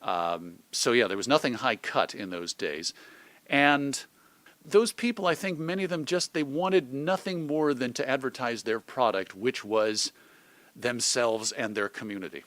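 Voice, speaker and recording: A middle-aged American man talking as an interview guest in an animated, thoughtful, digressive conversational way. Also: medium pitch, a little slow, no echo. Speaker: interview guest, magazine publisher and radio host.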